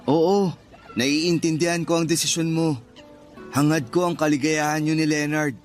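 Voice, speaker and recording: A man's voice: fairly high pitch, steady tone, normal speed, faint music behind, close to an everyday voice. A male actor playing a kind, good-natured young suitor in a Filipino radio drama, sincere and friendly. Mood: nervous, sincere.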